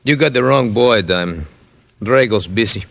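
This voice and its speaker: A man's voice: lowish voice, animated, normal speed, gravelly, a bit bright, no room sound. A man acting a callous gangster henchman in an old radio crime drama, taunting and threatening in clipped tough-guy talk. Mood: smug. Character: menacing, callous male gangster henchman holding a gun.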